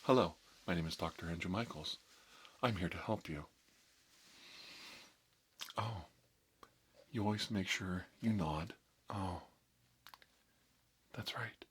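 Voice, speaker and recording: A man presenting a tongue-in-cheek ASMR tutorial as a mock doctor, speaking slowly and deliberately with a deadpan delivery. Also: low voice, breathy edge. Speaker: ASMR video host.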